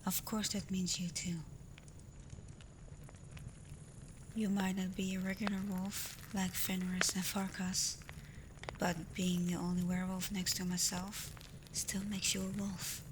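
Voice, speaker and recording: A woman voicing a caring alpha werewolf in a soft, breathy ASMR roleplay, gentle and reassuring, confident but privately unsure. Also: mid-range voice, moderate pace, natural voice. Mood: tender, unsure. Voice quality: soft, breathy, often near a whisper. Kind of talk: ASMR roleplay, soft intimate talk to the listener. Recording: clear steady ambient noise.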